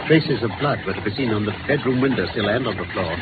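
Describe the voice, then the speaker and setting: A man with a British accent playing a brisk, incisive gentleman detective in a 1950s radio drama, articulate and theatrical as he lays out facts. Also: lowish voice, expressive, close to an everyday voice. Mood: brisk, matter-of-fact. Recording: noisy, phone-like old radio recording.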